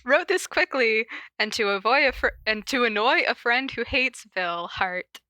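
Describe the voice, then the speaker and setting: A woman with a notably bright voice in a dry, close recording. She chats casually as a podcast co-host, giggly and playfully teasing, and reads aloud in the same informal way. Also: on the high side, expressive, normal speed, no echo. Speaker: podcast co-host and fan fiction reader. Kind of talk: casual chat with reading aloud.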